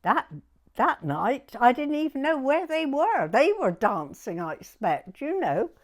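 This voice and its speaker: Elderly southern English woman, very lively intonation. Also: high voice, a little slow. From southern England. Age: 90s. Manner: chatty, animated, repeats herself. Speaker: interviewee recalling her youth. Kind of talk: oral history reminiscence.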